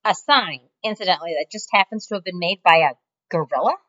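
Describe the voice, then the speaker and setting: A woman voicing a stubborn, reluctant middle-aged father with a flat manner, on a muffled lo-fi recording. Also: lowish voice, brisk, close to an everyday voice.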